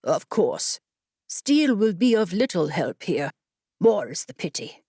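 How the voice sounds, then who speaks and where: A woman's voice: on the low side, sing-song, slow pace, dark tone, slightly rough, no room sound, heavily acted. A woman voicing a stern, scowling female desert guide for an audiobook, blunt, dry and condescending. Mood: grim, disgusted. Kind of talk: audiobook character voice.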